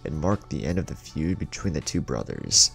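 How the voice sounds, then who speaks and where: A man narrates a story in an exceptionally deep voice. His delivery is dramatic and lively, swinging in pitch, with a gravelly creak. Music plays underneath. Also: normal speed. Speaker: fan fiction narrator. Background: background music.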